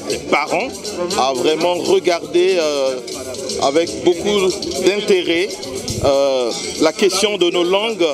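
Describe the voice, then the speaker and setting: A man giving closing remarks at a conference, halting often with fillers. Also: a little high. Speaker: conference speaker.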